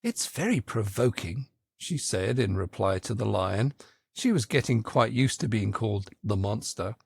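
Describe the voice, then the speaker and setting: A man narrating a children's story in an expressive, theatrical audiobook style. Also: lowish voice, normal speed, vocal fry, husky, no room sound, studio quality.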